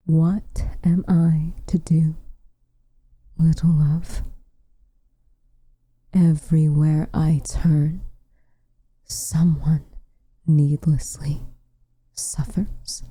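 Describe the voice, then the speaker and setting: A woman playing a proud, protective witch in an ASMR roleplay, speaking softly and formally, often dropping into a breathy whisper. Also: deep voice, little pitch movement, no echo, exaggerated voice. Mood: worried, frustrated. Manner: formal, measured, dramatic delivery. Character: protective, proud, frustrated witch, tender toward her lover.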